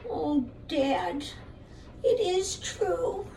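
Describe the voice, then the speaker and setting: A woman voicing a proud but softening young princess in a children's puppet show, with frank, childlike phrasing. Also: very high-pitched, sing-song, extremely slow, creaky, echoey room, heavily acted. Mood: sheepish. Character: proud young princess who learns to be kind. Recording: distant mic with faint background noise.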